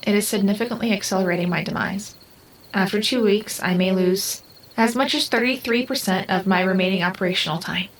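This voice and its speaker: An actress playing a half-human house intelligence in an audio drama, calm, formal and devoted, with precise clinical diction. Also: female voice, mid-range voice, a little fast, slight background noise, lightly acted, rough recording. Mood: calm, resolute.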